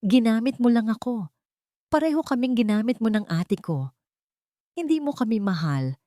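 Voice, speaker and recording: A woman's voice: a little high, animated, moderate pace, mellow, no echo, studio quality, voice pushed up, close to an everyday voice. A woman voicing a frightened, remorseful young woman in a dramatic audiobook, pleading and angry. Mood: bitter anger. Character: frightened, remorseful young woman, defiant toward her abusive lover.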